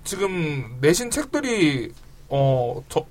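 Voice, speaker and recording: A man's voice: on the high side, very animated, slow. A man of about thirty hosting an interview, asking questions in a friendly, joking way. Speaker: host.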